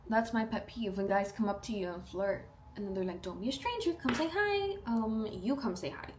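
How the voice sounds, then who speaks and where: A woman's voice: medium pitch, normal speed, noisy background. A woman of twenty-two with a South Texas accent, chatting casually and animatedly while doing her makeup at home. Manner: chatty, upbeat, animated asides and playful quoted voices. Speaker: beauty vlogger. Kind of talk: casual get-ready-with-me chat.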